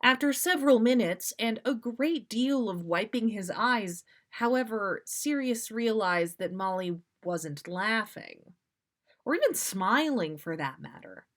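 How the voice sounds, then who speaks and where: A woman's voice: on the high side, lively intonation, measured pace, fairly bright tone. A woman reading a story aloud expressively as the host of a story session. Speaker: podcast host reading listener fan fiction.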